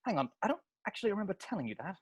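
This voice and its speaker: Guy reading uptight eager young cop, talks fast, husky voice, polite but pushing back. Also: high-pitched, very animated, heavily acted. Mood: protesting. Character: earnest, overachieving young male police constable. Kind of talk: table read over video call.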